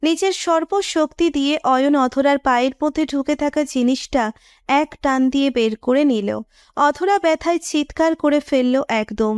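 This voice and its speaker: High-voiced woman narrating a romance. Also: normal speed, a little mellow, no room sound, clean studio sound. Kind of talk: scripted romantic story narration.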